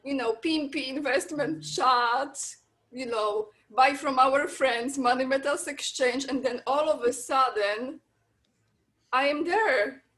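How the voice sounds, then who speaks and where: High-voiced Polish woman telling stories. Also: takes it slowly, a bit bright. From Poland. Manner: eager, rambling storyteller. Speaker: guest. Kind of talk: casual interview chat.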